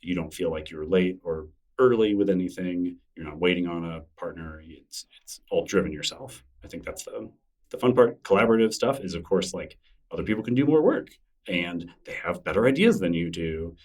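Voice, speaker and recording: A man's voice: deep voice, expressive, moderate pace, darkish tone, distant mic. A middle-aged man, a guest in an interview, talking in a thoughtful, rambling way with many fillers and restarts. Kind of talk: casual interview conversation.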